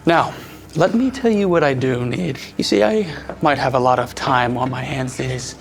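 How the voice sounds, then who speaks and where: A man's voice: mid-range voice, moderate pace, vocal fry, lightly acted. A man voicing a cold, dangerous duke who speaks with sardonic menace in an audio drama. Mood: menacing.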